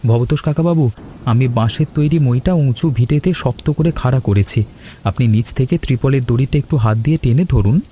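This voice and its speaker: A man playing a brave, dutiful young village son, earnest and respectful, in an animated cartoon story. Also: mid-range voice, moderate pace, a little hiss or hum, natural voice. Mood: urgent, helpful.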